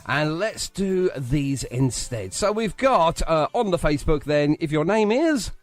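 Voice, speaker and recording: A man's voice: fairly high pitch, expressive, measured pace, dry recording, faint music behind. A man hosting a live radio show in a chatty, jokey way.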